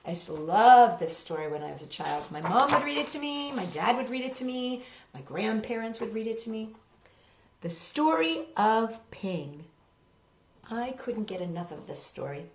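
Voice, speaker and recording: A middle-aged woman hosts a children's storytime in a roomy, slightly muffled home recording. Her reading is expressive, with a lively pitch that rises and falls widely. She speaks directly to young listeners in a dramatic storytelling manner. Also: normal speed, a little hiss or hum. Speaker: children's storytime host and reader. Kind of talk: expressive picture-book read-aloud for children. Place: small home room, indoors, seated on a sofa. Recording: roomy, slightly dull home video sound.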